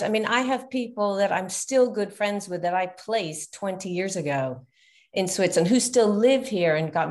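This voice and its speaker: A middle-aged woman with an American accent, a business founder guest on a podcast call, telling her story in an animated, chatty way. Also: mid-range voice. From United States. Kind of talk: casual interview over a call.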